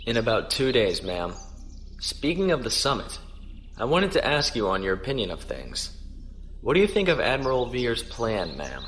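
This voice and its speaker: An actor playing an earnest, dutiful young starship captain in a science fiction audio drama, with polite military formality. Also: male voice, medium pitch, very animated, moderate pace, a bit bright, soft background music. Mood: respectful, earnest.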